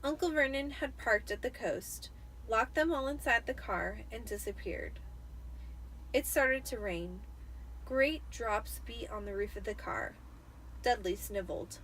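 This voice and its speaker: A tipsy woman reading a story aloud in an expressive, dramatic audiobook style, with the occasional stumble. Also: on the high side, unhurried, rough recording. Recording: noticeable background noise.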